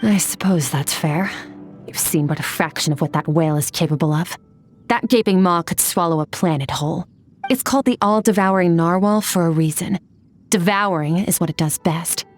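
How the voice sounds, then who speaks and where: A woman's voice: on the low side, normal speed, soft background music, natural voice. A woman playing an aloof, blunt, battle-hardened swordswoman in a video game, detached and matter-of-fact. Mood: detached, grave.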